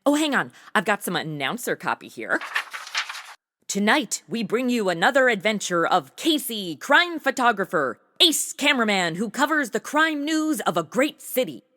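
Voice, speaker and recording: A woman's voice: mid-range voice, big pitch swings, normal speed, pushed and bright, no room sound, clean studio sound. A young woman playing a chatty, ditzy modern influencer who hosts and banters in a comedy audio drama. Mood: breezy, amused. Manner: breezy, casual valley-girl slang. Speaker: host.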